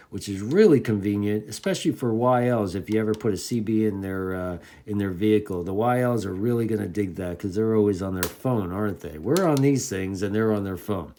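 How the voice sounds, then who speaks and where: A man's voice: lowish voice, mellow. A man giving a casual, enthusiastic hands-on product review in informal hobbyist slang.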